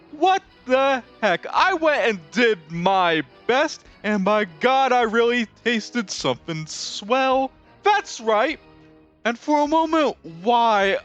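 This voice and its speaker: A performer voicing a lanky skeleton Pumpkin King from an animated musical, grandiose, excitable and melodramatic, with sweeping theatrical delivery. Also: mid-range voice, muffled recording. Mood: triumphant. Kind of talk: fan re-recording of animated musical film lines.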